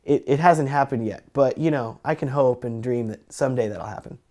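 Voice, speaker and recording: A young man with a slightly raspy, cold-roughened voice, teaching color grading in a chatty, joking tutorial. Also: medium pitch, fairly quick, a little mellow. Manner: joking, chatty, self-deprecating. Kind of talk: casual tutorial talk.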